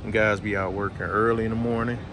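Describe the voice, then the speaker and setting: A man's voice: lowish voice, level tone, fast talker, noisy background. A man in his thirties casually narrating a travel vlog in a laid-back, slangy way, often repeating himself. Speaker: travel vlogger. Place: outdoors on a city rooftop. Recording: loud background music, outdoor city rooftop.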